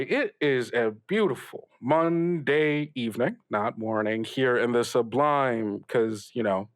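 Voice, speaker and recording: A man's voice: mid-range voice, close to an everyday voice. A man playing a goofy, showy teenage boy who hosts a radio show, rambling and joking in improvised banter. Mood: playful rambling. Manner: rambling, stumbling, jokey hype.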